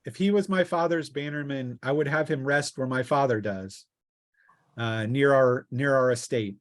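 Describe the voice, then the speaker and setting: A man's voice: medium pitch, normal speed, lightly acted. Man voicing a dignified, gracious grieving noblewoman. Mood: solemn.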